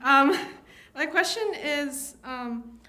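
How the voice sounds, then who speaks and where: Young female student from Taiwan, slightly high-pitched, posing a question at a live event. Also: lively intonation, drawn-out words, bright, forceful tone. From Taipei, Taiwan. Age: young adult.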